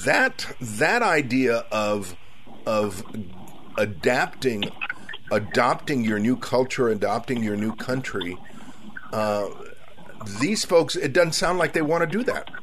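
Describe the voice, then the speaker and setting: Slow-talking man hosting a radio show. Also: mid-range voice, expressive, a bit bright, a little hiss or hum, rough recording. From South Texas. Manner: slow, hesitant, with many fillers. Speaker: radio talk show host. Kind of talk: radio talk show interview.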